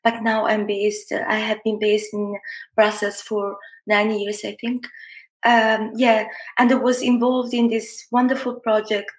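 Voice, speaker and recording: Hesitant woman, very even pitch, echoey room. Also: a little slow. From Somalia. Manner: hesitant, repeating words as she searches. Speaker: writer, poet and playwright. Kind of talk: informal personal introduction. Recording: roomy, echoey sound.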